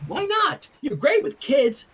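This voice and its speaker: A man's voice: very high voice, big pitch swings, slow pace, a bit bright, distant mic, pitched above the performer's own voice, full character voice. A man voicing a flustered, exasperated teenage girl in an urgent, stammering, huffy manner for a story reading.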